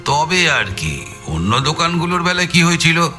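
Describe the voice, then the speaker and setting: A man's voice: a little high, big pitch swings, moderate pace, pushed and bright. A man acting a shrewd, genial investigator in an audio story, questioning with hums and tag questions. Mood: inquisitive. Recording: music bed behind the voice.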